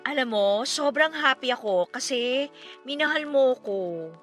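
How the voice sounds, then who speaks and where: A woman's voice: sits high, expressive, measured pace, fairly bright tone, faint music behind. A woman acting a devoted, affectionate young girlfriend in a Tagalog radio romance drama, sweetly teasing and earnest. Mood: tender, content.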